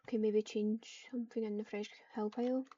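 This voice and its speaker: A woman's voice: mid-range voice, level tone, brisk, noisy background. A woman roleplaying in an online game, speaking both character lines and third-person narration into a distant, slightly muffled microphone.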